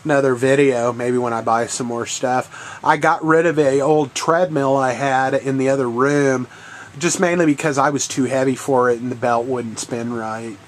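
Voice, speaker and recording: A man's voice: medium pitch, fairly even intonation, moderate pace, a little hiss or hum. A man with a head cold, sounding congested and coughing now and then, rambling casually through a vlog with many ums and uhs. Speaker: channel host. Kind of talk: casual video game haul vlog.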